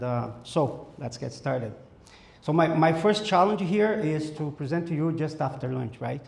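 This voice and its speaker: A man from Brazil with a Brazilian accent, giving a conference presentation in a halting way with many fillers and restarts. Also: a little high, gravelly, darkish tone. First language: Portuguese. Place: conference room. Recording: echoey room.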